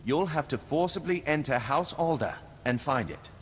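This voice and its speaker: A man's voice: a little high, animated, normal speed, lightly acted. A man playing a stern, aristocratic spymaster in a video game, giving orders in a formal, commanding manner. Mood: businesslike. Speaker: character actor in a video game. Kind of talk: scripted game dialogue. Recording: long hall reverb, faint noise.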